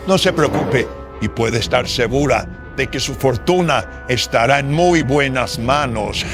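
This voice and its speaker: A man's voice: mid-range voice, sing-song, slow, over music. A man playing a sly, greedy notary with florid, old-fashioned courtesy and a processed voice in a horror radio drama. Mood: smooth, scheming. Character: sly, greedy, flattering male notary, gambler and rogue. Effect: voice processed with filters. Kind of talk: theatrical horror radionovela acting.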